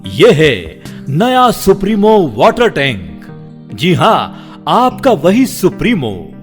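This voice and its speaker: Expressive, measured male voice-over with music. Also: high-pitched. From India. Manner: dramatic, measured, emphatic delivery. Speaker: voice-over artist. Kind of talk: scripted promotional and documentary narration.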